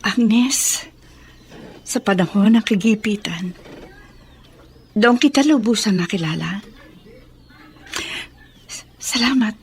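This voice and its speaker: Emphatic wealthy Filipina matron, melodramatic. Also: female voice, mid-range voice, slow pace, pauses often, slight background noise. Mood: remorseful, grateful. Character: wealthy matronly woman, wronged, fierce yet deeply remorseful. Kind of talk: Filipino radio drama acting.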